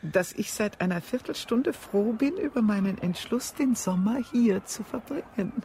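An actress playing a genteel widow at a seaside spa, coy and affectedly polite in a radio play. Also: female voice, medium pitch, expressive, moderate pace, warm tone, a little hiss or hum, lightly acted. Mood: wistful. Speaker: actor in a radio drama.

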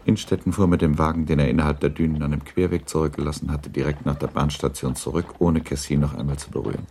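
A male narrator reading literary prose for a radio drama. His voice is extremely deep and mellow with a gravelly fry, and his delivery is sober and measured. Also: level tone.

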